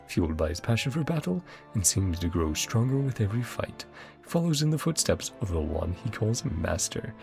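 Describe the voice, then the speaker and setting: A man's voice: fairly low pitch, creaky, a little mellow. A man streaming a game, commenting casually and reading game text aloud. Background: faint game music.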